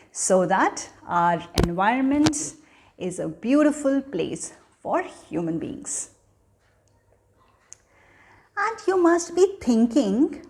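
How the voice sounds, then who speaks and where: Slow-paced woman teaching children. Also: medium pitch, a little mellow. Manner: teacherly, deliberate, asking questions then answering them. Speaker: children's storyteller and channel host. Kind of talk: educational talk addressed to children.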